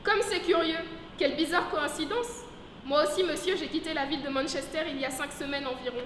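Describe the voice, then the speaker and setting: A woman's voice: sits high, a little fast, fairly bright tone. A young woman playing a prim English lady. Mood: formal. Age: young adult. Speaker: drama student. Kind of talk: student theatre rehearsal. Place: large echoey school room. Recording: echoey hall from far off, noisy.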